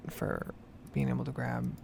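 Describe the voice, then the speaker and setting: A woman speaking in a breathy whisper, rambling casually with some swearing in an ASMR gaming video. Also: a bass voice, level tone, moderate pace, background noise.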